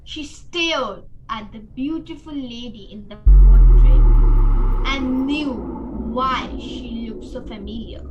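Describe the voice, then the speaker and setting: Woman narrator, fairly high voice, unhurried, scripted radio-play storytelling, faint noise. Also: muffled recording.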